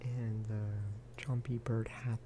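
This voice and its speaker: A man giving soft-spoken ASMR game commentary in a hushed, unhurried voice that at times slips toward a whisper. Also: little pitch movement, noisy background. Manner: unhurried, low-key, musing aloud. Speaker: gaming video creator.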